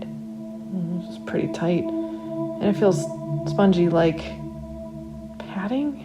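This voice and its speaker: A woman reading a first-person horror story as a trapped accident victim, in a dramatic, confiding inner monologue. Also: on the low side. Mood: uneasy, searching. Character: trapped narrator waking after accident, wry then panicked. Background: original music.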